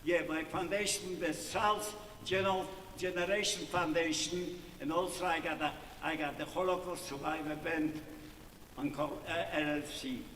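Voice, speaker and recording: An older man with a Polish accent and a high voice works a live charity auction. His phrasing is halting and teasing, full of jovial banter. He is picked up from a distance in a noisy, reverberant hall. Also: fairly even intonation, fairly bright tone. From Poland. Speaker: musician running a charity auction. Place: large indoor hall at a live event. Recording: echoey hall, distant mic, clear room noise.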